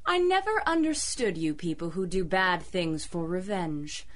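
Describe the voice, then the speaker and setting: A woman's voice: fairly low pitch, lively intonation, measured pace. An actress playing a cocky, cold-hearted female criminal in a radio drama, with a sardonic drawl.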